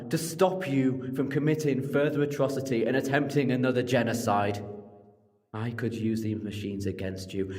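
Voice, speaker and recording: An actor playing a moral, commanding time-travelling alien hero in a sci-fi audio drama, with earnest, dramatic phrasing. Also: male voice, medium pitch, a little mellow. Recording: long reverberant hall, music behind.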